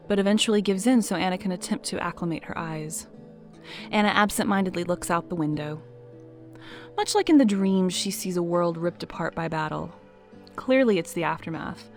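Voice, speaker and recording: Woman narrating an audio drama. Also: fairly low pitch.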